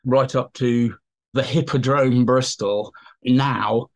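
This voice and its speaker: A man's voice: medium pitch, steady tone, takes it slowly. Male academic guest, mid-range voice, informal and enthusiastic explainer with a digressive, conversational delivery. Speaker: expert guest, teacher and writer. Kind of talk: informal expert explanation in an interview.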